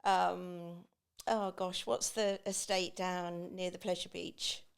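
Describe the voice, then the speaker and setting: A woman's voice: fairly low pitch, fairly even intonation, slow, vocal fry, smooth. Chatty East Anglian woman telling stories. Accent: East Anglian English. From Great Yarmouth, England. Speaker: podcast guest, beach hut business owner. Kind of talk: chatty personal storytelling.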